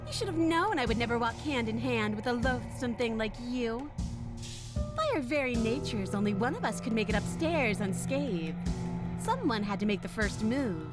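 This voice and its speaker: Woman playing bitter game princess, high pitch, menacing, theatrical, taunting, loud music behind. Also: animated, normal speed, lightly acted. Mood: scornful. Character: bitter, vengeful captive princess, mocking and darkly gleeful. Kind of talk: dramatic game voice acting.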